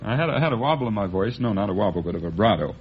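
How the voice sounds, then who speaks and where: A man's voice: lowish voice, lively intonation, racing, over a noisy crowd. A man of about thirty with an American accent, telling stories conversationally as a quiz contestant. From Los Angeles. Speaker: quiz contestant, amateur singer. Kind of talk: conversational storytelling. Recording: muffled, noisy 1950s radio recording.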